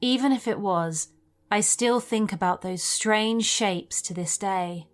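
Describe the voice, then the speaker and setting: A woman narrates a creepy first-person tale in a mid-pitched voice. She reads from a script as a storyteller would, recounting events one by one. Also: takes it slowly. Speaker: narrator of listener-submitted scary stories.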